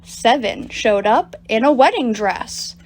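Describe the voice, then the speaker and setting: A woman reading picture books aloud to children as a storytime reader, in an animated, deliberate storytelling manner. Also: on the high side, slight background noise. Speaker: storytime reader at a public library. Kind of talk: expressive picture-book read-aloud for children.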